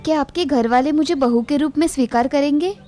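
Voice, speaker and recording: A woman's voice: high-pitched, brisk, mellow, soft background music, natural voice. A woman voicing a humble, obedient young working-class bride in a story, speaking politely and deferentially. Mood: humble, hesitant. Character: humble, obedient young flower seller turned daughter-in-law. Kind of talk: Hindi moral story dialogue.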